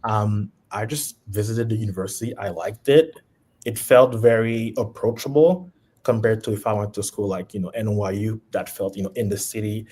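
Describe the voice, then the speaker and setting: A man in his late twenties with a Haitian accent, a podcast guest chatting in a relaxed way with frequent ums. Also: on the low side, moderate pace, breathy edge. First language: Haitian Creole. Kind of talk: casual chat.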